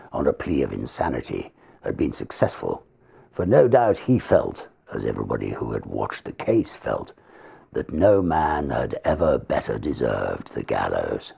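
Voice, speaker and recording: A man reads literary tales with theatrical flair. His voice is extremely deep and heavily gravelled, with a husky grain, and his delivery is expressive and dramatic. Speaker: ghost story reader. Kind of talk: dramatic literary reading, verse and prose.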